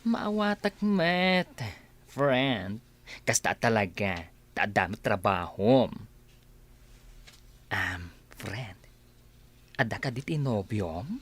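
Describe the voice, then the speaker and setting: Very low-voiced, animated Filipina friend. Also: female voice, slow, pauses often, heavily acted. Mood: sympathetic, curious. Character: easygoing, nosy young female friend and co-worker. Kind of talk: radio drama acting.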